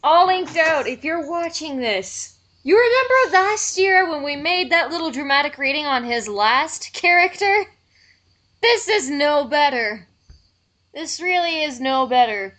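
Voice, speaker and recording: Woman, fairly high register, exasperated and blunt, casual group critique for an online video. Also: lively intonation, measured pace, a bit bright.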